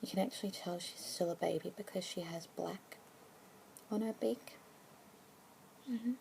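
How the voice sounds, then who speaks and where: A young woman in a whispered ASMR roleplay as a pet adoption consultant, speaking slowly and gently in a soft unvoiced whisper. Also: on the low side, gravelly, slight background noise.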